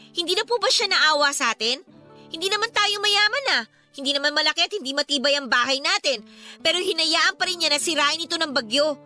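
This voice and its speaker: A woman's voice: sits high, sharp tone. A woman acting a poor, worried young daughter in a Filipino radio drama, pleading and insistent with an emotional delivery. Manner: emotional, insistent, respectful to her father.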